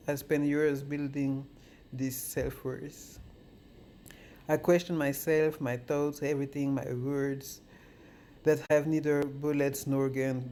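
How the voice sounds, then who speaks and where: A man reading spoken-word poetry in a measured, solemn manner. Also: a little high, warm tone, slight background noise.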